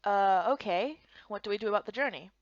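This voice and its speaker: A woman's voice: medium pitch, brisk, no echo, muffled recording, natural voice. A woman voicing a puzzled, polite young newcomer in a visual novel game. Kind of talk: voiced playthrough of fantasy visual novel.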